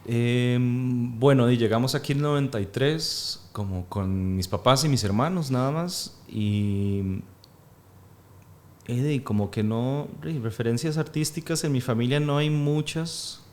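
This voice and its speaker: A man in his thirties, born in Peru and speaking with a Costa Rican accent, talks thoughtfully as a guest, with many pauses and fillers. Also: mid-range voice, a little mellow. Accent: Costa Rican Spanish. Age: 30s. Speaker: actor and guest. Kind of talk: casual interview.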